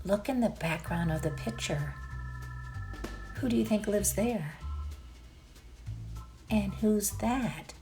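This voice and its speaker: Woman, children's read-aloud narrator, very unhurried, expressive, musing asides, music behind. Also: mid-range voice. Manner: deliberate, dramatic, with musing asides to listeners.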